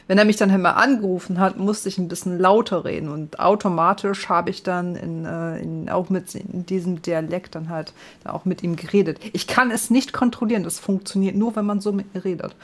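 A man's voice: sits high, fairly even intonation, moderate pace. A man from northern Germany with a northern German accent, hosting a podcast in casual chat, hesitant with many fillers and frequent laughs. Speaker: podcast host.

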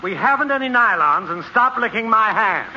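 A man's voice: high-pitched, animated, normal speed. A man playing a haughty, prim department store floorwalker, indignant in 1940s radio comedy style. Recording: very noisy, muffled 1940s radio broadcast.

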